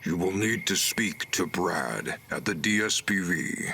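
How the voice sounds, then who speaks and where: An actor playing a gentle, sorrowful old super mutant veteran in a video game, speaking in a halting, deliberate way. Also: male voice, animated, a little hiss or hum, exaggerated voice. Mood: grateful. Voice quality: deep, gravelly. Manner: slow, halting, deliberate delivery. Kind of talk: video game character dialogue.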